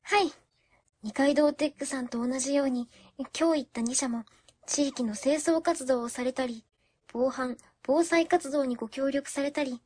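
A woman's voice: high voice, a little mellow, no echo, close to an everyday voice. A woman playing a shy, polite young office worker who reports formally in a radio drama.

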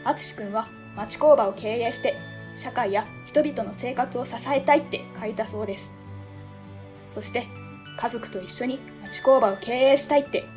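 Woman as a courteous city office worker, earnest, fairly high voice. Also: moderate pace, a little mellow, soft background music, close to an everyday voice. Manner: polite, earnest, explaining. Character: polite young female ward office clerk, earnest.

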